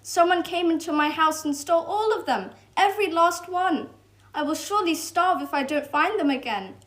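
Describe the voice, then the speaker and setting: A woman's voice: very high-pitched, moderate pace, fairly bright tone, voice pushed up. A woman voicing a frantic little male squirrel in a storybook, pleading in a panic. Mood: panicked.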